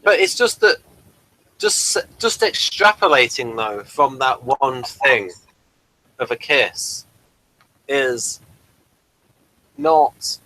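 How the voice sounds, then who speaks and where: A man's voice: medium pitch, animated, very slow, pauses often, sharp tone, rough recording. A man talking casually as co-host of a television review discussion.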